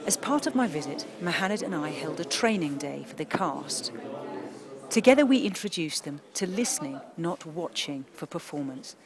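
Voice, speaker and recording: A woman with a British accent narrating a documentary about radio drama training in a measured, informative way. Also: low voice, lively intonation, a crowd in the background.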